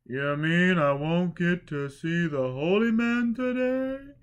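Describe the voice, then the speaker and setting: Guy doing a young storybook drunk, charming but befuddled, kinda high plaintive voice. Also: expressive, slow pace, smooth.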